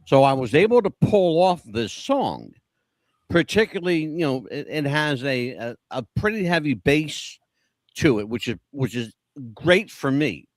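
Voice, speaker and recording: A man's voice: on the high side, sing-song, a little slow, no room sound. A man co-hosting a podcast, telling chatty, self-deprecating stories about songs from his past. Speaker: podcast co-host. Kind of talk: casual chat about favorite songs.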